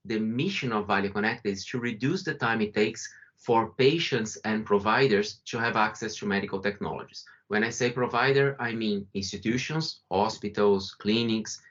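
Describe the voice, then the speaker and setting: A man's voice: fairly low pitch, fairly bright tone. Brazilian man presenting over a muffled call. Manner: conversational, restarts and self-corrections. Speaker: webinar presenter. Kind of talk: webinar presentation. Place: remote call from home or office. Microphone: video call microphone. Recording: muffled video call audio.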